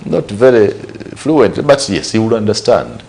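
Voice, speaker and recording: A man's voice: on the low side, sing-song, normal speed, a little mellow. Ugandan man giving news commentary. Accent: Ugandan English. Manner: conversational, repeats and lists points, rhetorical questions. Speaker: news show host. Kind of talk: spoken commentary on current affairs.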